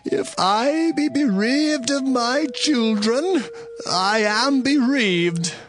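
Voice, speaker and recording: An actor playing a grieving, weary old father in a dramatized scripture reading, speaking slowly and mournfully with a gravelly voice. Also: very high voice, lively intonation, fairly bright tone, slight background noise, exaggerated voice. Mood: resigned. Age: older. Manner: slow, mournful, sorrowful delivery.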